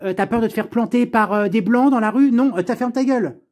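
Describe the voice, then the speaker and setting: A man's voice: very high voice, brisk, a little mellow. A man from France delivering an unscripted personal rant, blunt, sarcastic and exasperated, with plenty of swearing.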